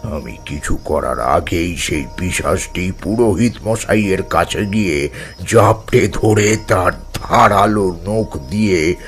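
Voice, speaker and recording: An actor playing a grave older tantric priest telling a horror story in a gravelly, solemn, suspenseful manner. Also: male voice, deep voice, slow pace, soft background music. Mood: grave, haunted.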